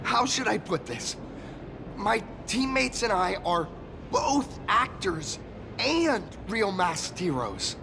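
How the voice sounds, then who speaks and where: High-pitched, gushing young hero fanboy. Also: male voice, very animated, takes it slowly, gravelly, noisy background, full character voice. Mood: earnest, proud. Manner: gushing, stammering when flustered. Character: excitable starstruck young male actor-turned-masked-hero, earnest and humble. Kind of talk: anime-style video game voice acting.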